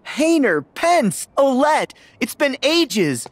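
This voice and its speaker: An actor playing a cheerful, earnest, good-hearted teenage boy hero in an animated video game. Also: high-pitched, takes it slowly, no room sound, heavily acted. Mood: delighted.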